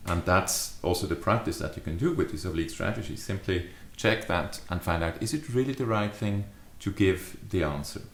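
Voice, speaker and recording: A man in his fifties giving a reflective, explanatory talk to camera as a leadership adviser, with measured, deliberate phrasing. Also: sits low, lively intonation. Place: small furnished study or living room, indoors. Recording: echoey living room.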